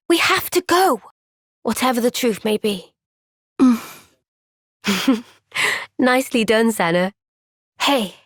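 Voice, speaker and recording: An actress voicing a gentle, caring young female soldier in a game cutscene, speaking softly and earnestly. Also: high-pitched, no room sound, clean studio sound, heavily acted. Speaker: game character. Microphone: close studio mic.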